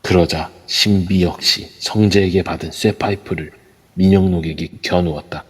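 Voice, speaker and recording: A man's voice: low-pitched, steady tone, moderate pace, slightly rough. A man reading scripted narration for an audio drama. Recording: long reverb throughout.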